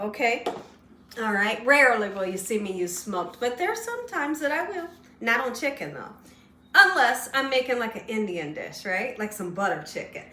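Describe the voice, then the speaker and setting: Woman, cooking show host, slightly hoarse, fairly high voice, chatty and folksy, echoey kitchen, a bit off mic. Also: a bit bright, far from the mic. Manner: chatty, folksy, keeps checking in with "right?". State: slightly hoarse, losing her voice. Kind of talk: casual cooking demonstration. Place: home kitchen.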